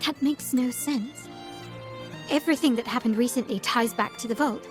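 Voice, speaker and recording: An actress playing a poised young heiress of a crime family in a video game, speaking formally and precisely. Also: female voice, on the high side, moderate pace, lightly acted. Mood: pensive, analytical. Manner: composed, formal, articulate. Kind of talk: scripted video game dialogue. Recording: music behind.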